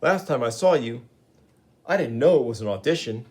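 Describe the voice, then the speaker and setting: A man's voice: mid-range voice, expressive, normal speed, a little mellow, husky. Brief: man playing a wisecracking young hero, breezy and dry. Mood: wry. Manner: breezy, sarcastic quips. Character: wisecracking young male superhero.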